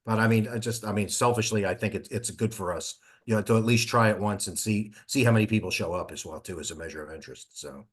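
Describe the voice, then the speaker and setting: A man with a somewhat deep voice talks casually in an online meeting. He sounds conversational, like a participant in an informal planning discussion. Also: fast, few pauses, husky. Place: remote teleconference call.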